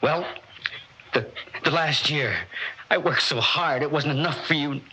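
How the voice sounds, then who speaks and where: An actor in a 1960s radio drama playing a shaken, resentful, overworked husband who speaks hesitantly in broken phrases. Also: male voice, fairly high pitch, measured pace, sharp tone. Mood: hurt, weary. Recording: dull, noisy old radio recording.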